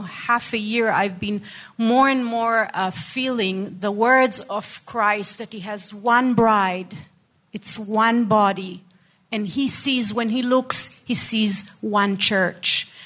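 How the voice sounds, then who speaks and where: A woman's voice: medium pitch, steady tone, slow pace, fairly bright tone. A woman in her fifties from Israel, speaking reflectively and conversationally as a guest on a panel, sharing personal testimony. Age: 50s. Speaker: ministry program worker, panel guest.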